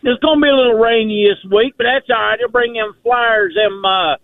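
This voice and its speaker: Folksy high-voiced man on the phone. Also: fairly quick, dry recording. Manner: folksy, drawling. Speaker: radio caller. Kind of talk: phone-in chat. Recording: tinny phone line.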